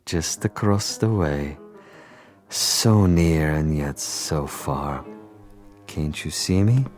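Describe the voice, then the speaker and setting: A man's voice: very deep voice, extremely slow, a little mellow, full character voice. An actor playing a cunning Soviet commissar in a radio drama, a pompous gamesman who speaks with taunting, theatrical precision. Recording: music behind the voice.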